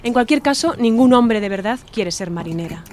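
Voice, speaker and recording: A woman's voice: fairly high pitch, expressive, brisk, darkish tone, slight background noise, lightly acted. A woman playing a domineering middle-aged mother in a radio drama, lecturing and dismissive. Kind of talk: Spanish-language radio drama acting.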